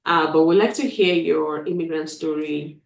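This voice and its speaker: A woman's voice: deep voice, moderate pace, gravelly, slight background noise. A woman hosting a live stream interview, enthusiastic, often repeating words as she frames her questions over a video call. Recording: roomy, distant from mic.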